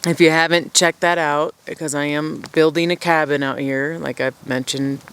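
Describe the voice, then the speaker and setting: A woman with a low, smooth and resonant voice talks casually to her viewers from a forest camp. She rambles in a friendly, self-deprecating way. A mic mounted on the camera picks her up outdoors. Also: fairly even intonation, moderate pace, a bit bright. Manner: rambling, chatty, laughs often, self-deprecating. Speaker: vlogger living at a wilderness camp.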